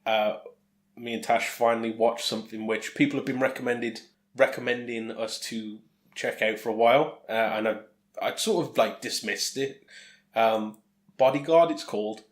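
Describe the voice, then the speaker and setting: A man with a British accent hosts a homemade podcast with a friend. His voice sits at a middling pitch, and he talks in a loose, rambling, joking way, like casual chat. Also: steady tone, normal speed. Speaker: podcast host. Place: room at home, no studio.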